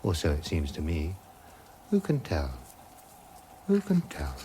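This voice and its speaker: An actor playing a vague, gentle hermit who doubts all reality, in a radio comedy drama. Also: male voice, deep voice, huge pitch swings, a little slow, a little hiss or hum, exaggerated voice. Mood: musing. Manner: musing, detached, hesitant, questioning everything.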